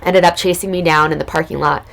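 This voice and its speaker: Woman, raspy, hoarse, low voice, gravelly fry, casual spiritual storytelling. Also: steady tone, quick pace, a bit bright, rough recording. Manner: casual, loose, spiritual talk with many fillers. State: hoarse from recent illness. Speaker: podcast host. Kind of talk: reflective personal storytelling.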